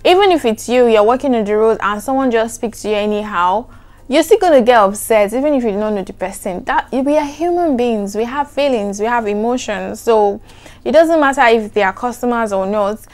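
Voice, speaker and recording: A young adult woman with a soft, soothing voice, chatting to camera in an animated, emphatic way. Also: fairly high pitch, fairly quick. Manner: animated, emphatic, repeats "trust me". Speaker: vlogger. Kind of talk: casual chat to camera.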